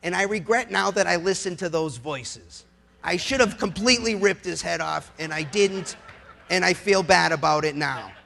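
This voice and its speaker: A man's voice: high voice, moderate pace, gravelly, sharp tone. An American man giving a persuasive public speech, speaking emphatically in plain colloquial language and repeating phrases for effect.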